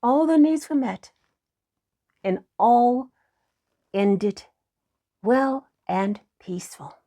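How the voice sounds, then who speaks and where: Slow, animated woman storyteller with creaky voice. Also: fairly high pitch. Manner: dramatic, with lingering emphasis and hushed dips. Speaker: children's storyteller. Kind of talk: expressive fairy tale narration.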